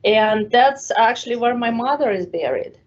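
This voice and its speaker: A woman's voice: on the high side, animated, normal speed. A middle-aged woman from Moscow with a Russian accent, a guest on a chat show, telling her story with many fillers. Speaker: guest, art studio owner. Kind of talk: casual interview chat. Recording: dull lo-fi sound.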